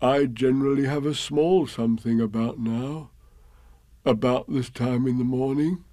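A man voicing a simple, humble bear in a children's storybook, with a plaintive, gentle manner. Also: a little high, dry recording, pitched above the performer's own voice. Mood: dreamy. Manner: plaintive, gentle, slightly slow-witted.

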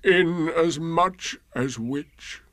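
A man voicing a gloomy old grey donkey from a storybook, with a mournful, drawn-out, heavily resigned delivery. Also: sits high, voice pushed up, heavily acted. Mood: gloomy pondering.

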